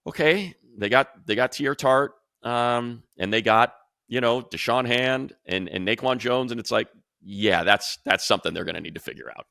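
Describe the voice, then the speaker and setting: A man's voice: medium pitch, normal speed, gravelly, sharp tone, no room sound, studio quality. A man hosting an unscripted sports talk show, speaking emphatically and repeating phrases to drive points home.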